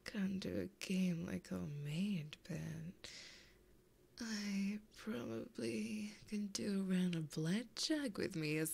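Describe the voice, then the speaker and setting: A woman voicing a flirty, possessive, taunting female demon in an ASMR roleplay, breathy and often whispering in a teasing, intimate way, close to a binaural mic. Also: lowish voice, darkish tone, exaggerated voice. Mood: flirty, calm. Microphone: close binaural microphone.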